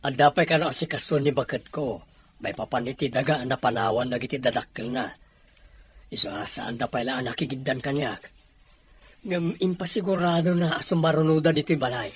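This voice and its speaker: A man playing a cheerful, relieved married younger brother in an Ilocano audio drama, with a very raspy voice. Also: mid-range voice, a little fast. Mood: explaining.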